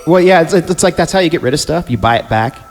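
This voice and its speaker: A man's voice: a little high, lively intonation, quick pace. A man bantering casually on a podcast, riffing with sarcastic, wisecracking asides. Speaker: podcast guest reacting to the story. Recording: long reverb, music underneath. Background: music.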